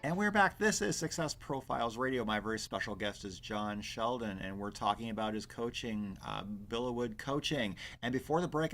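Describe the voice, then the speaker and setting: A man hosting a business radio show, with a smooth, announcer-trained delivery and an enthusiastic, affirming manner. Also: lowish voice, a bit bright. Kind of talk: radio interview.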